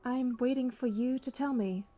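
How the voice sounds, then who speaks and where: An actress playing a devoted, protective young bodyguard heroine in a romance game, telling her story in an earnest, measured way. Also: high voice, dark tone, faint music behind. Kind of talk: romance game narration and dialogue.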